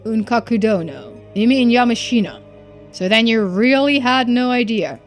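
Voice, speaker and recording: A woman's voice: on the high side, moderate pace, faint music behind, lightly acted. A woman voicing an informal, hesitant and wary male investigator in a video game. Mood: doubtful. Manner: casual, hesitant phrasing. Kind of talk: video game character voice-over.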